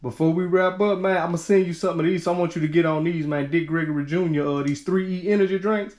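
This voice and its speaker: A man's voice: fairly high pitch, steady tone, fast, a little mellow. A man talking casually and in slangy, informal phrases as a podcast host with a guest. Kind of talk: casual conversation. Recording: roomy echo.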